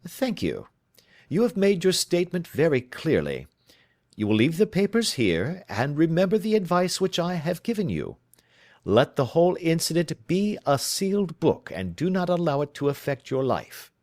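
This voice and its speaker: Man reading as a brisk, assured detective, lively emphatic delivery, storybook reading. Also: fairly high pitch, no room sound, studio quality, pitched above the performer's own voice. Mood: authoritative. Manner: crisp, authoritative, reassuring. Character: brisk, self-assured Victorian male detective.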